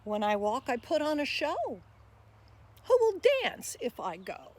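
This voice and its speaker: A woman's voice: fairly high pitch, sing-song, measured pace. A woman voicing a small, swift, mischievous mongoose lemur in a rhyming picture book, with bouncy, emphatic delivery. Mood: playful, boastful.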